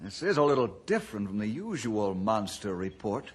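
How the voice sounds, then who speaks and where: Skeptical ranger in charge for radio adventure: measured, folksy, lively intonation, husky edge. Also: male voice, lowish voice. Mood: skeptical, firm. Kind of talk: old radio adventure drama acting.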